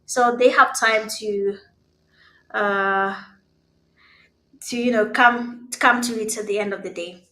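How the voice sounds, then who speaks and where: A Kenyan woman whose first language is Swahili, giving live esports commentary in a conversational, hesitant way with pauses. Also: mid-range voice, steady tone, sharp tone. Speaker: esports caster. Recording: roomy, echoing sound.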